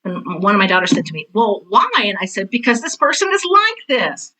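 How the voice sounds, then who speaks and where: Bright-voiced, emphatic older woman. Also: medium pitch, wildly swooping pitch, fairly quick, low-quality recording. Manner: self-described intense, direct. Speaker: guest, theater teacher and author. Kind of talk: casual interview talk.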